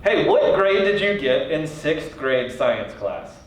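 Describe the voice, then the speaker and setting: A man's voice: a little high, big pitch swings, slow pace. A man hosting an interview, asking upbeat questions in a casual chat. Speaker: interview host. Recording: very echoey room from a distance.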